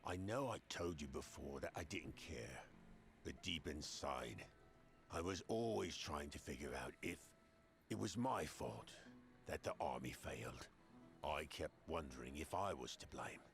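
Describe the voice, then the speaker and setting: Very deep, gravelly, slow blacksmith. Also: male voice, animated, soft background music, full character voice. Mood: earnest, moved. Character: male blacksmith, remorseful, earnest and grateful. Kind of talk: scripted video game dialogue.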